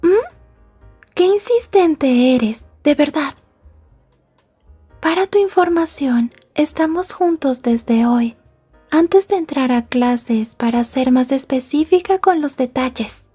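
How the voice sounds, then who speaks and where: A woman playing a possessive, polite young yandere girlfriend in an ASMR roleplay, speaking in a prim, wordy and softly menacing way. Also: sits high, expressive, slow pace, soft background music. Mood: guarded, irritated. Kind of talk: Spanish-language ASMR roleplay acting.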